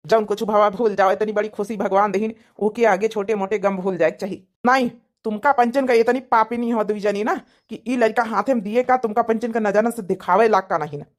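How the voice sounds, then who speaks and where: A woman's voice: medium pitch, steady tone, fairly quick, lightly acted. A woman voicing an earnest, emotional village man in a cartoon family drama, insistent and repetitive, with an Awadhi accent.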